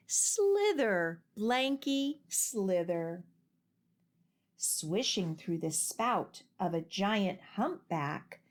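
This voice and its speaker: A woman reading a children's picture book aloud with expressive, deliberate and rhythmic phrasing. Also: medium pitch. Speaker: children's storytime reader.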